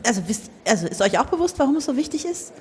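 A woman plays a fervent idealist in improvised comedy. Her voice is slightly high and husky, pressing and urgent. It rings in a large echoing space. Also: very animated, racing, a little mellow, full character voice. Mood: challenging. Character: fervent anti-commercial dog owner craving sad true feelings. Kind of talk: improvised comedy radio play. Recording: echoey hall with long reverb.

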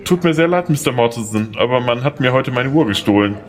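A man's voice: medium pitch, animated, fairly quick, faint music behind, lightly acted. A man playing a harried young lawyer in an audio drama, earnest and easily flustered. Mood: apologetic, polite. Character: harried, polite but indignant young male lawyer.

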